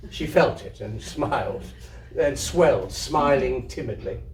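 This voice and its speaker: A man giving an expressive live reading of literary fiction. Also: mid-range voice, a little slow. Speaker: reader, amateur theatre troupe member. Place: indoor community hub event. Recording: roomy, distant mic, faint noise.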